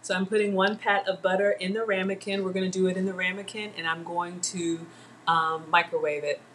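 A woman's voice: on the low side, flat delivery, brisk, fairly bright tone, slight background noise. A middle-aged woman hosting a casual live cooking demo from her home kitchen, chatting with viewers as she works. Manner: chatty, talks to viewers and names commenters. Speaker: home cooking livestream host. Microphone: fixed camera at counter distance.

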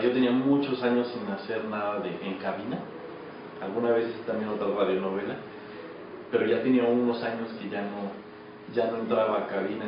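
A man in his thirties with a Mexican accent, an actor answering casually in an interview. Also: on the low side. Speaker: radio drama actor being interviewed. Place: small office. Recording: echoey, distant, muffled, noisy camera audio.

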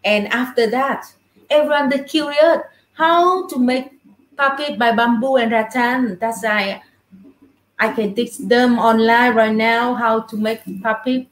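A woman's voice: a little high, measured pace, echoey room, low-quality recording. A middle-aged woman with a Vietnamese accent, a guest puppeteer explaining her craft in simple, broken English from a room over a video call. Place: small indoor puppet room. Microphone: webcam at a little distance.

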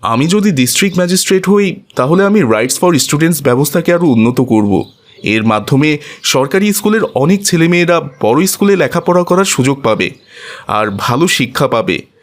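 A man's voice: fairly high pitch, lively intonation, moderate pace, close to an everyday voice. A man voicing a devout, humble young job seeker in a cartoon, courteous and earnest. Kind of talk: cartoon voice acting.

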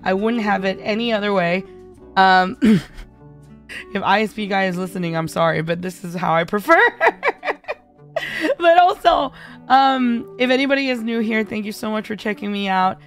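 A woman streamer with a hoarse voice, singing a ballad and then chatting with viewers in a bubbly, giggly way. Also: medium pitch, normal speed, pauses often. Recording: faint piano backing music. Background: soft piano backing during the song.

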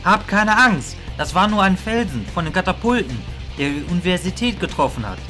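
A man's voice: sits high, lively intonation, brisk, music in the background. A man playing a reassuring wizard teacher in a fantasy audio drama, soothing and encouraging. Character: reassuring male wizard teacher calming his students.

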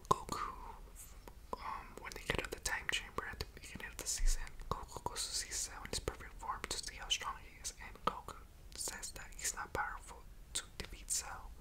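Young male ASMR creator, breathy whisper throughout, casual slangy rambling, close desktop mic. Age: young adult. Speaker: ASMR video creator and reviewer. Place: room at home, indoors. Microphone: large desktop USB microphone, close up.

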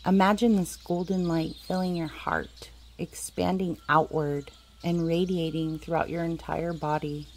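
Slow, low-pitched woman guiding meditation. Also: steady tone, darkish tone, slight background noise. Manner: slow, soothing, deliberate short phrases with long pauses. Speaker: meditation guide and host. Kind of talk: guided meditation. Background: music.

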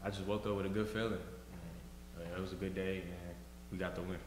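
A young man, a college basketball player, giving relaxed, plain short answers at a postgame press table, trailing off softly, into a table mic. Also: sits low, a little fast, background noise. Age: young adult. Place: press room, seated at a table. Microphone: table microphone on a stand. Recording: echoey room with lingering reverb.

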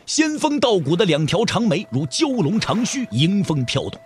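A man's voice: sits high, very animated, moderate pace, a little hiss or hum. A man narrating a martial arts novel for an audio drama in a dramatic storytelling style.

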